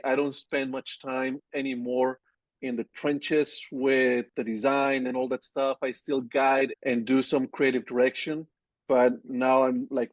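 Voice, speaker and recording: A man in his forties with a Colombian Spanish accent, talking about his work in a loose, easygoing way and often restarting his sentences. His voice sits in a middle pitch. The recording is close and dry, with almost no room sound. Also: no echo. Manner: relaxed, rambling, frequent restarts. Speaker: agency founder guest. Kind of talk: casual interview conversation.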